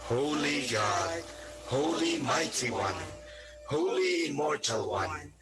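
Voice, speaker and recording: A man reciting a prayer in a measured, reverent manner. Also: mid-range voice, animated. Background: loud music.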